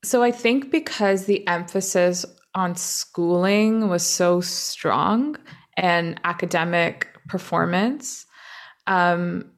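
A young woman from Canada answers interview questions in a slightly low voice with a gravelly edge. She talks reflectively, pausing often and restarting her sentences. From grew up in Canada. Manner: thoughtful, halting, many fillers and restarts. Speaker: guest.

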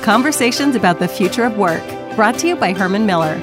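A woman's voice: mid-range voice, expressive, fast talker. A woman reading a scripted podcast introduction and sponsor credit as an announcer. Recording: clear music behind the voice.

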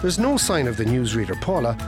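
An actor playing a wry, self-assured former radio boss with an Irish accent, narrating a comic radio play. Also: male voice, medium pitch, big pitch swings, a little fast, music in the background, lightly acted. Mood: wry storytelling.

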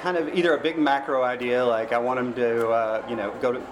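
A man's voice: medium pitch, steady tone, fairly quick. American man chatting amid background noise. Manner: informal, rambling explanations. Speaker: novelist being interviewed. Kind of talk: casual interview chat. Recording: clearly noisy background.

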